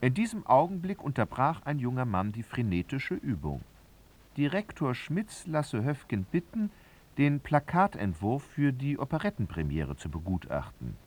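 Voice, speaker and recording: An actor as a grave, observant literary narrator, telling the story expressively in a radio drama. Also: male voice, medium pitch, very animated, normal speed, darkish tone. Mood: brisk.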